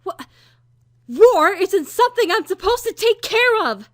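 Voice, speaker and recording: A woman's voice: very high-pitched, big pitch swings, a little slow, no echo, clean studio sound, full character voice. A woman playing an anxious young female trainee who stammers and protests in a visual novel fan dub. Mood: panicked. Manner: stammering, hesitant, indignant.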